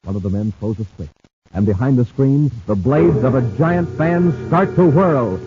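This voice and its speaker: A man announcing on a 1950s radio show, selling and narrating in an excited, emphatic, punchy way. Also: mid-range voice, normal speed, over music. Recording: dull 1950s radio broadcast recording.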